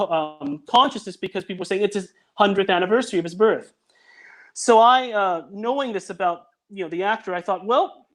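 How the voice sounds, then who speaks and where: A man with a high-set speaking voice talks through a story in detail, piling up dates and names. He restarts his sentences often and keeps a casual, conversational tone. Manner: detailed, fact-heavy storytelling with frequent restarts. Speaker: guest, audio drama producer. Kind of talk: casual chat.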